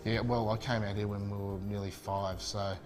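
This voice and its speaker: A man's voice: lowish voice, level tone, normal speed, darkish tone, faint music behind. A man, a chef chatting casually and enthusiastically in an interview, with many fillers and restarts. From Spain.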